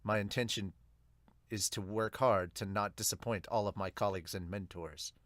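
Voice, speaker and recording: A man's voice: fairly low pitch, fairly even intonation, normal speed, a bit bright, no room sound, studio quality, voice pushed down, natural voice. A man voicing a humble, solemn superhero giving an earnest, subdued speech in an amateur manga dub.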